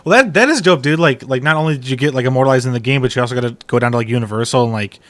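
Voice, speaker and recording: A man's voice: medium pitch, racing, fairly bright tone, no room sound. A man hosting a casual interview, talking in an informal, slangy and encouraging way. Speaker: podcast host.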